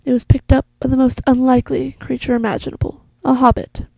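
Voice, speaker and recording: An ancient, solemn elf queen acted out by a woman delivers a fantasy prologue. The voice is fairly high but mellow and creaky, soft and hushed. Every phrase is grave and deliberate, recorded close and dry. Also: level tone, no room sound, exaggerated voice. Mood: hushed, ominous. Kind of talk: slow dramatic fantasy monologue.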